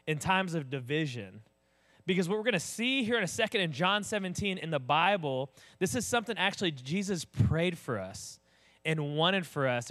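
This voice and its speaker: A young man, an associate pastor with a booming voice, telling stories in a casual, animated sermon. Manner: animated storyteller, acts out voices.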